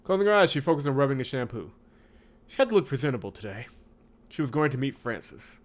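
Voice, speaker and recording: A man hosting a visual novel playthrough, chatting casually and reading the story aloud in an expressive way with wry asides. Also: mid-range voice, quick pace. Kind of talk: casual let's-play commentary with read-aloud narration.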